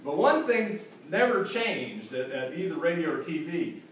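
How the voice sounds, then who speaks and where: A man in his forties, an author reading from his own book to an audience in a school hall, telling it in a lively, humorous way with asides. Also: fairly high pitch, moderate pace. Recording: echoey hall, distant mic, faint hiss.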